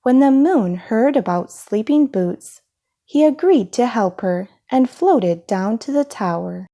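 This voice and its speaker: Unhurried, mellow woman reading a storybook. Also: fairly high pitch, lively intonation. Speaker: storybook reader. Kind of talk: read-aloud children's story narration.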